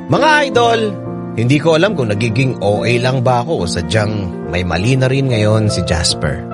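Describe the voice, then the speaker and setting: An actor playing a lovelorn young letter writer who narrates a radio drama in an expressive, confiding way, with a Filipino accent. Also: male voice, mid-range voice, moderate pace, loud music behind the voice, lightly acted. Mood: wistful, frustrated.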